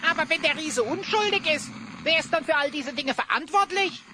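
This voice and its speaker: Squeaky, brash little sidekick. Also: animated, fairly quick, background noise. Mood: exasperated. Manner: brash, exclaiming. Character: small, cheeky, exasperated sidekick of the hero. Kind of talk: German children's audio drama acting.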